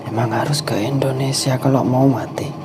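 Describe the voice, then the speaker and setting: Performer as channeled Japanese comic artist, male: hushed, breathy, terse, over a noisy rumbling background. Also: steady tone, close to an everyday voice. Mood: quiet, reserved. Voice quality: breathy, whispery. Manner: terse, halting, quiet. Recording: noisy recording with vehicle rumble.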